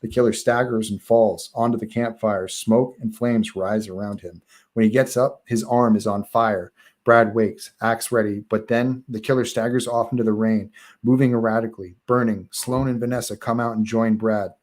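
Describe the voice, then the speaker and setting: A man's voice: on the low side, fairly even intonation, moderate pace, a little mellow. A man reading stage directions as narrator in a live table read of a horror screenplay.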